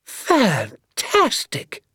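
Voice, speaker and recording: A tiny, gleeful village doctor from a storybook. The voice leaps very high and swoops about, stretching every exclamation with effusive delight. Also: male voice, huge pitch swings, very slow, sharp tone, husky, dry recording, studio quality, heavily acted. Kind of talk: storybook character voice.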